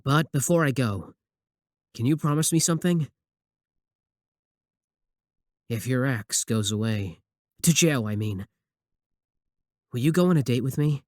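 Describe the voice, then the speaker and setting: A man's voice: medium pitch, animated, normal speed, pauses often, no echo, studio quality, close to an everyday voice. A young man acting a possessive, obsessive student admirer in a scripted ASMR roleplay, sweet yet menacing. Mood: hopeful, eager.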